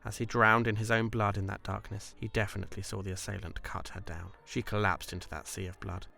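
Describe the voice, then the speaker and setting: Low-voiced male story narrator. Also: level tone, fairly quick, gravelly, sharp tone.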